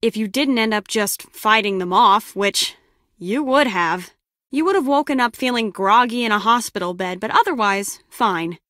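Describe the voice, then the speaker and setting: A woman's voice: on the high side, expressive, moderate pace, fairly bright tone, dry recording, clean studio sound. An actress playing a brusque, sarcastic lone-wolf female spy in a video game, with a curt, biting delivery. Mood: dry, matter-of-fact. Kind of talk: scripted game character dialogue.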